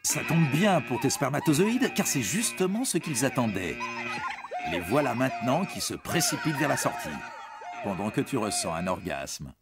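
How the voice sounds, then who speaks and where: A man narrates an educational film for young viewers with very expressive, swooping intonation. He explains things in a reassuring way, speaking at a middling male pitch. Music plays clearly behind his voice. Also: moderate pace. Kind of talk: scripted educational narration addressed to young teens. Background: background music.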